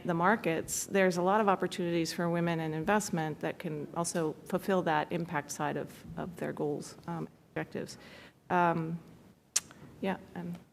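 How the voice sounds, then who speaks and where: Woman panelist, lowish voice, measured, searching for words; faint hum behind. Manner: thoughtful, hesitant with many fillers. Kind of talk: panel discussion answer. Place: conference room.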